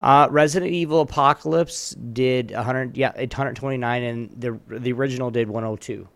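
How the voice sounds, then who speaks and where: A man hosting a trivia game in a casual chat, often halting and restarting his phrases. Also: medium pitch, steady tone, a bit bright, no echo. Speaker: podcast host running a trivia game.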